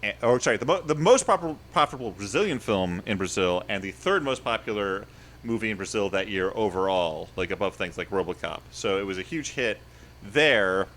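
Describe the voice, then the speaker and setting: A man with a lowish voice co-hosts a casual comedy podcast. He rambles through his points, laughing at himself and piling up little asides. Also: expressive, brisk, fairly bright tone, slight background noise. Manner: rambling, self-deprecating, laughs often. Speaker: podcast co-host.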